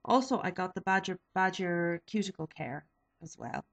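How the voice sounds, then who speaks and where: Young woman, deep voice, bit of vocal fry, sniffly, chatty, lots of ums. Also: expressive, moderate pace. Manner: chatty, with frequent ums and self-corrections. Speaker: beauty vlogger. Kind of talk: casual product review.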